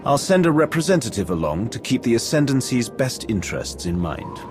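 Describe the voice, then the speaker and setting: A calculating aristocratic envoy from a space game, voiced by a man. His delivery is formal and diplomatic with animated pitch movement, set over prominent music. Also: a little fast, natural voice. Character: courteous, calculating male alien aristocrat and military envoy. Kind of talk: cinematic video game dialogue.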